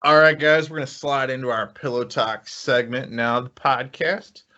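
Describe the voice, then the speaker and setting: A man's voice: medium pitch, normal speed, bright, forceful tone. A man hosting a podcast, talking casually to a guest and to listeners. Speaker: podcast host. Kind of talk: casual podcast sign-off and plugs. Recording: dull lo-fi audio.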